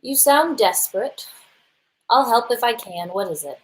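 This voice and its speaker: A woman's voice: fairly high pitch, animated, unhurried, poor audio. A woman playing a guarded, timid librarian in a live radio play, prim in manner. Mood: guarded, weary.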